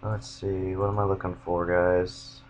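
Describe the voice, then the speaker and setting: A young man with a monotone voice, casually rambling as he walks viewers through his game builds. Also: deep voice, measured pace, a little hiss or hum. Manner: rambling, self-interrupting. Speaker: gamer showing his build.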